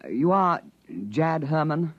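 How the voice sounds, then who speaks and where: An actor playing a keen, bookish lieutenant in a 1950s radio drama, polite and a little hesitant. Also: male voice, a little high, expressive, takes it slowly, dry recording. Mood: mulling. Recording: muffled vintage 1950s radio recording.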